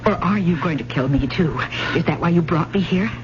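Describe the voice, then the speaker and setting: An actress playing a brave, quick-witted woman, earnest and dramatic, in old-time radio drama style. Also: lowish voice, close to an everyday voice. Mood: defiant, accusing. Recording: muffled, very noisy old radio recording.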